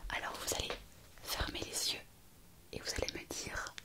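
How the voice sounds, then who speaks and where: A woman playing a softly whispering, eager young intern. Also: moderate pace, close to an everyday voice. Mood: attentive, soothing. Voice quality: soft, breathy whisper. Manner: attentive, hesitant, gently reassuring. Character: kind, eager, chatty young female hospital intern on her first night shift. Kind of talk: ASMR medical roleplay.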